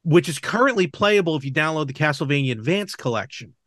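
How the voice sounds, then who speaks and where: Slightly higher-voiced man hosting a casual podcast. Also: lively intonation, fast talker, a bit bright, no room sound, clean studio sound. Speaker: podcast host. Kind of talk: casual chat about games and film.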